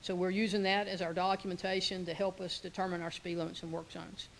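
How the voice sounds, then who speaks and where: A man's voice: high voice, level tone, fast, gravelly, fairly bright tone, a little hiss or hum, rough recording. A man giving an informal conference presentation, with many fillers and self-corrections. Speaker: presenter, transportation official.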